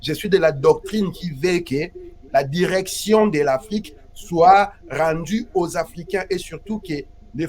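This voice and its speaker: A man's voice: a little high, darkish tone, a little hiss or hum. A man from the Democratic Republic of Congo, interviewed as an activist, talking emphatically and repeating his key phrases. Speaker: guest activist. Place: Paris.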